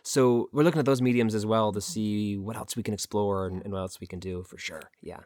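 A man's voice: on the low side, fairly even intonation, brisk, a little mellow, no echo, clean studio sound. A man chatting casually and enthusiastically as a guest in an interview. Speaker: interview guest, audio drama creator.

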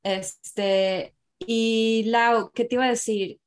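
A woman's voice: on the high side, steady tone, takes it slowly. A woman hosting a casual chat, who hesitates and trails off as she talks.

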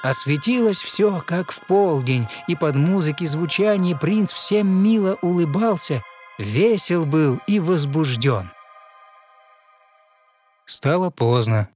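Male narrator, slightly high voice, rhythmic verse storytelling, expressive, musical backing. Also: slow pace. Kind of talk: scripted storytelling of a fairy tale in verse. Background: music behind the voice.

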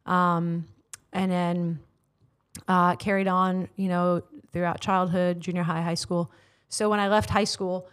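Earnest American woman sharing her story. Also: lowish voice. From United States. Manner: earnest, heartfelt, many filler words. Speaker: guest, prayer ministry leader. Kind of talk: personal testimony in interview. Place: studio.

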